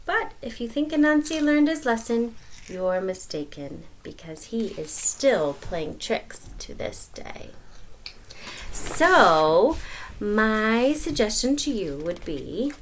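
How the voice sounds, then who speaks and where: A woman reading a children's picture book aloud as a storyteller, expressive, with dramatic pauses and emphasis. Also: mid-range voice, a little hiss or hum, rough recording.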